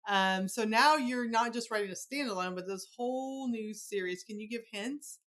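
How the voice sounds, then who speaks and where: A woman's voice: a little high, normal speed, fairly bright tone. A woman hosting a podcast, asking questions in a casual, conversational interview style.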